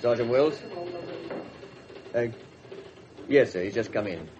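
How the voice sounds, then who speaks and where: An actor playing a harried, dry police desk sergeant with a British accent in a 1970s radio drama. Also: male voice, mid-range voice, slow, lo-fi sound, close to an everyday voice. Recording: slightly noisy archive radio recording.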